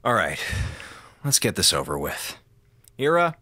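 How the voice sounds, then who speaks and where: Sarcastic slacker space station officer. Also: male voice, lowish voice, big pitch swings, measured pace, bright, forceful tone, full character voice. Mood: mock-sincere, sarcastic. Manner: wisecracking, theatrically mock-polite. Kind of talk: radio drama acting.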